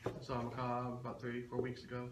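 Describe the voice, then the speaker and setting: A man's voice: mid-range voice, monotone, fast talker. A man co-hosting a show with casual, crude, off-the-cuff banter. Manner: crude, joking. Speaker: co-host of a weekly geek show. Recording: echoey room with noticeable background noise.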